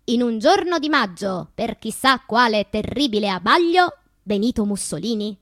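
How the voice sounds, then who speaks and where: An actress playing a wistful, wounded woman poet in a theatrical radio monologue, with slow deliberate phrasing and a breathy voice that drops into whispers. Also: on the high side, expressive, fairly bright tone, no echo, clean studio sound. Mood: proud, dramatic.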